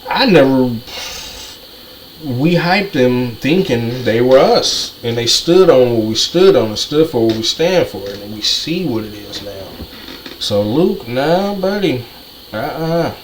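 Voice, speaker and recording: A man's voice: mid-range voice, lively intonation, takes it slowly, poor audio. Ranting man with faint noise behind. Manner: ranting, repetitive, profane. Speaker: commentator. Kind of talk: heated monologue.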